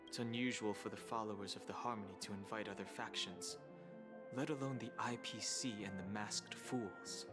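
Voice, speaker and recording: A man's voice: lowish voice, fairly even intonation, close to an everyday voice. Man voicing a reserved, analytical game character. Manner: measured, precise, reserved. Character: calm, analytical, formal male outsider. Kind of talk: video game voice acting. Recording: loud music behind.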